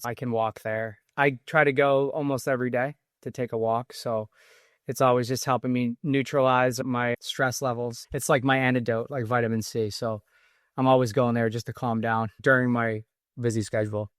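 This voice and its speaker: A man's voice: medium pitch, little pitch movement, moderate pace, smooth, no echo, studio quality. A man in his forties with a Massachusetts accent, a podcast guest chatting casually and rambling through his stories with many restarts. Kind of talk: casual interview talk.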